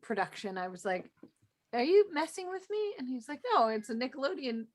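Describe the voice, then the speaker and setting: A woman with a slightly high voice talks as a panelist on a fan show. She is informal and conversational. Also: very animated, a little fast. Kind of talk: casual chat.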